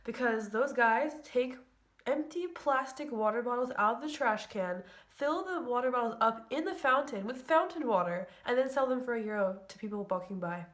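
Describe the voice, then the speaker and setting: A man's voice: very high-pitched, animated, moderate pace, a bit bright. A young woman voicing a male tour guide who firmly announces a warning to his group.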